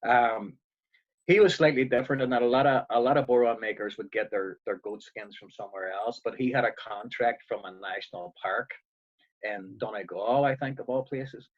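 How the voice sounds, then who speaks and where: A man's voice: medium pitch, normal speed, muffled recording. A man in his fifties from Northern Ireland with a Northern Irish accent, chatting as a musician guest and telling stories with dry humor. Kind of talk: casual video call chat.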